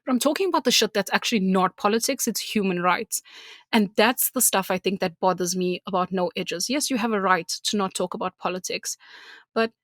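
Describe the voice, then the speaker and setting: A woman's voice: medium pitch, brisk, a bit bright, no room sound, clean studio sound. A woman from South Africa with a South African accent, co-hosting a podcast in candid casual chat, laughing often and ranting freely. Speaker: podcast co-host.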